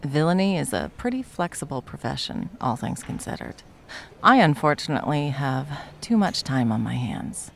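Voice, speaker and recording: A woman's voice: deep voice, big pitch swings, normal speed, gravelly, slight background noise, heavily acted. A woman playing a smug, nonchalant villain who works as a barista, dry and teasing in a comedic ASMR roleplay. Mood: casual, deadpan. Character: smug, deadpan young villain working as barista.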